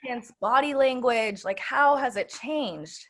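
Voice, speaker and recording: A woman's voice: on the high side, animated, unhurried. A woman hosting a casual interview, asking questions in an effusive, informal way. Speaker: interviewer, event host.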